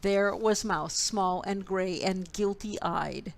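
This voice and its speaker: A woman storyteller reading a children's picture book expressively, with deliberate, dramatic phrasing. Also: mid-range voice, creaky.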